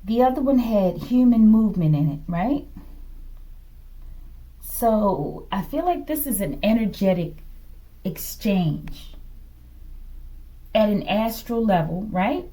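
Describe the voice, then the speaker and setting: A woman's voice: medium pitch, lively intonation, takes it slowly, long pauses, dark tone, low-quality recording. A woman giving an improvised tarot reading, thinking aloud in short fragments and often checking in with a questioning tag. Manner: fragmented delivery, murmuring to self, often ending phrases with a questioning "okay?". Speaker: tarot reader, host.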